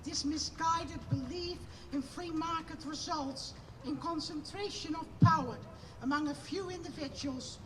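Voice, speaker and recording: A woman with a Dutch accent giving an impassioned, declamatory protest speech outdoors at a rally, building to loud emphatic peaks. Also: sits high, measured pace. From Netherlands. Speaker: protest speaker. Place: outdoor rally on parliament steps. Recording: distant mic, loud outdoor noise.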